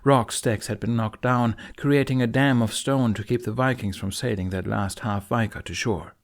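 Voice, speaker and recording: Male audiobook narrator, mid-range pitch, dramatic scripted delivery for fantasy adventure. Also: steady tone, moderate pace.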